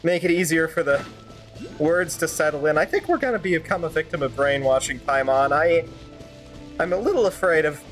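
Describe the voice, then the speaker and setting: A woman streamer with a low-pitched voice improvises commentary over a video game. She talks in a sarcastic, rambling way. Music plays behind her. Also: animated, moderate pace.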